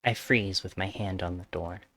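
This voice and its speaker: A man reading a visual novel's first-person story aloud as live narration, with loud game music behind him. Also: low-pitched, moderate pace.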